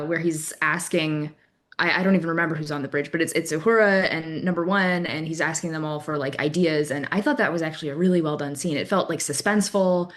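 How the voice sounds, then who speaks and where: A young woman chatting casually as a podcast guest, hesitant and saying "like" throughout. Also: low-pitched. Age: young adult. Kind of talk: casual chat.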